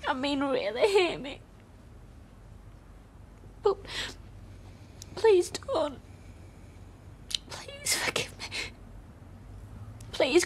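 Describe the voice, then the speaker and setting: A woman's voice: high-pitched, a little hiss or hum, heavily acted. A tearful young woman. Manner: pleading, sobbing, hushed. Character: young woman Katie, remorseful, pleading, tearful. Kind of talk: broadcast drama acting.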